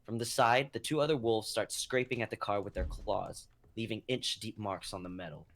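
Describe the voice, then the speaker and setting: A man's voice: fairly low pitch, fairly even intonation, moderate pace, a bit bright, a little hiss or hum. A young man narrating a scripted drama in the present tense as the reader of stage action. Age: young adult.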